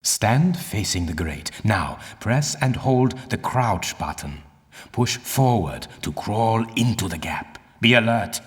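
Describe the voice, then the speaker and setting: An older, stern archaeologist guides a student in a video game. His voice is low and rolls widely in pitch. He is formal, pompous and condescending, echoing in a long cavernous reverb. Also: expressive, slow pace. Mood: measured, instructive. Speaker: mentor and guide. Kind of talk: video game dialogue and tutorial instruction.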